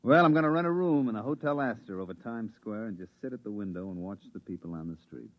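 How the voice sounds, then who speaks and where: An actor playing a quiet, careful veteran pilot on a moon base in a 1950s radio drama, speaking in a measured, reflective way. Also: male voice, animated. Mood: wistful. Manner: low-key, measured, reflective. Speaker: lead in a radio play. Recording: muffled vintage radio broadcast.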